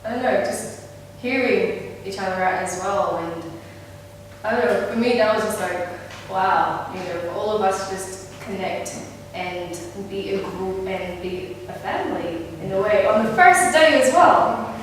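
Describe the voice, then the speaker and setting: A woman's voice: mid-range voice, unhurried, a little hiss or hum. A woman, a workshop participant, reflecting on a workshop in an enthusiastic, chatty way. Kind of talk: personal reflection in group. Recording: echoey hall from far off.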